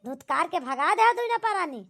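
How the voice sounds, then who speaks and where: A woman's voice: very high-pitched, big pitch swings, moderate pace, fairly bright tone, exaggerated voice. A woman voicing a reproachful older village aunt in an amateur cartoon drama, lecturing with an Awadhi accent. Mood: reproachful scolding.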